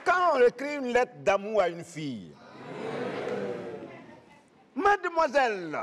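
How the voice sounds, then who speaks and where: A man's voice: extremely high pitch, huge pitch swings, takes it slowly, a crowd in the background, exaggerated voice. A man with a West African French accent, a comedian playing an indignant parliamentarian who mocks the young with emphatic, repeated exclamations in stage banter. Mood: mock indignation. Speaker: comedian on a satirical parliament show. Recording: echoey hall, audience noise behind.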